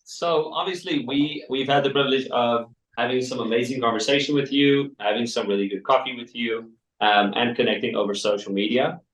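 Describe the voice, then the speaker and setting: A man co-hosting a coffee podcast, talking in a measured way with fillers. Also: medium pitch, a bit bright. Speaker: podcast co-host. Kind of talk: casual interview talk. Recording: echoey room, from a distance.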